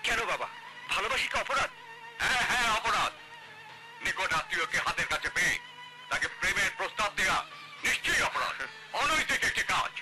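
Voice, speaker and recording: A man's voice: high-pitched, wildly swooping pitch, fairly quick, long pauses, pushed and bright, husky, faint music behind, exaggerated voice, rough recording. A man acting an impassioned, declamatory role in a family quarrel from a melodramatic film. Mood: pleading, indignant.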